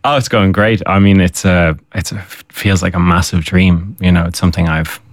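A man's voice: low-pitched, steady tone, normal speed, no room sound. A young man, a chef, answering interview questions in a hesitant way full of fillers. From Ireland, Dublin. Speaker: chef, guest. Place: radio studio.

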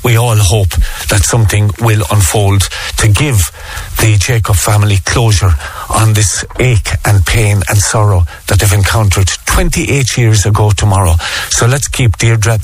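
A man's voice: on the low side, steady tone, unhurried. An Irish man presenting a local radio show, chatty and earnest, with long, rambling sentences. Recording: radio broadcast with faint music.

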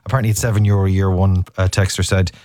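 A man's voice: low voice, level tone, racing, dark tone, dry recording, clean studio sound. Rambling radio host man. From Ireland, Cork. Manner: rambling, jokey, self-interrupting. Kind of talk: casual radio chat. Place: radio studio. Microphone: studio microphone.

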